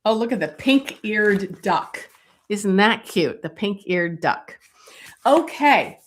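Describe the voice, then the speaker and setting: Slow-talking woman losing her voice. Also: mid-range voice, animated, a little hiss or hum. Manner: many fillers, talks while showing pages. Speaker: wildlife illustrator hosting a live stream. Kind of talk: casual live-stream talk.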